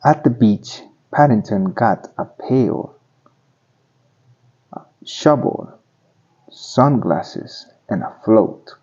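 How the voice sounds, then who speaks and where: Male storybook reader, unhurried, husky, deliberate phrasing for children, slightly muffled lo-fi recording. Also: fairly low pitch, expressive, darkish tone. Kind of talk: read-aloud storybook narration.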